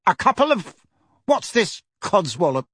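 A man voicing a blustering, bullying middle-aged uncle in an audiobook, loud and indignant. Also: fairly high pitch, very animated, slow, slightly rough, no room sound, studio quality, pitched above the performer's own voice, exaggerated voice.

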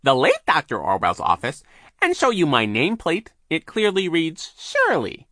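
A man voicing a scheming male villain disguised as a prim lady receptionist, in a coy mock-ladylike falsetto. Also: wildly swooping pitch, moderate pace, a bit bright, no echo, clean studio sound, exaggerated voice.

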